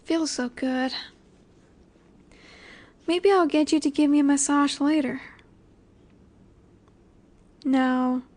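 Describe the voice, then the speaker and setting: A woman acting as a cocky female werewolf alpha in a flirty audio roleplay. Her voice is high and she takes her time over each phrase. She taunts and teases, sure that she is in charge. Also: steady tone, slow pace, pauses often, a little mellow. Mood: defiant, triumphant. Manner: teasing, taunting, confident.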